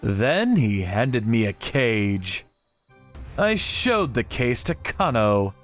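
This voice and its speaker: A man's voice: medium pitch, big pitch swings, slow, faint music behind. A man narrating a dramatic story in the first person with theatrical delivery. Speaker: narrator of a manga channel story.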